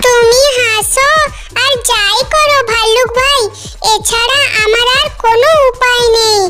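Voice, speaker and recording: A performer voicing a cunning, chatty fox with a very high, childlike voice in an animated children's story. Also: unhurried. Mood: feigning helplessness. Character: cunning fox scheming to farm goats. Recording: music behind the voice. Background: children's cartoon music.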